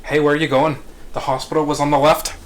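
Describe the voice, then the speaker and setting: A man acting a commanding, foul-mouthed male crime boss with a gruff, authoritative way of talking. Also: fairly high pitch, level tone, fast, lightly acted, low-quality recording. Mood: controlled. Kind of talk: script table read.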